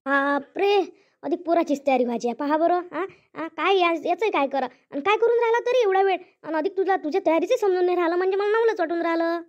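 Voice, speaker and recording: A bossy village woman played by a voice actress in a cartoon comedy. She has an extremely high-pitched voice and rattles along quickly, scolding and chattering in rustic Varhadi Marathi from Vidarbha. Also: muffled recording. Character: bossy, chattering village women of a wedding household.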